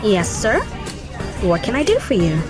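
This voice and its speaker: A woman's voice: mid-range voice, very animated, a little slow. A woman playing a polite flight attendant who sweetly deflects a pushy passenger. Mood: courteous. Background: music.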